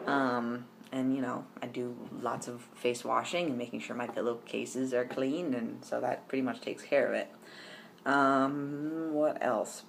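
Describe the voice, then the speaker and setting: A man's voice: mid-range voice, creaky, slight background noise. A young man chatting casually to camera in a personal vlog, rambling with lots of ums and restarts. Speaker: vlogger.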